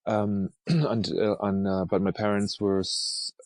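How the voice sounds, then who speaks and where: Man, very low voice, hesitant, dry close sound, from germany. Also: level tone, takes it slowly, dry recording. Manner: hesitant, many restarts and ums. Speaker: artist guest. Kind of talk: casual interview talk.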